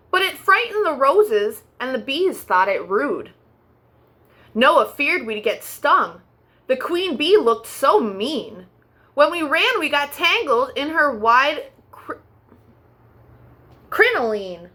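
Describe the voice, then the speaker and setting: A woman hosting a children's storytime, reading a rhyming picture book aloud in an expressive, sing-song way. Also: high-pitched, slow.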